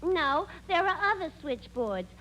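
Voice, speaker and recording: High-pitched, brassy telephone operator. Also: female voice, big pitch swings, unhurried, sharp tone, heavily acted. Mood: saucy. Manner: saucy, wisecracking. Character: brassy, flirty female telephone operator on the witness stand. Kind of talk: 1940s radio comedy acting. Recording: noisy old radio broadcast.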